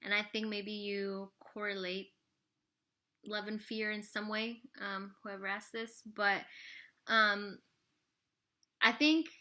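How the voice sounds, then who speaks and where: Woman, 30s, vlogger, bright chatty voice, rambling, casual Q&A to camera. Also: medium pitch, steady tone, slow pace, pauses often. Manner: rambling, full of "like" and "you know". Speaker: vlogger answering viewer questions.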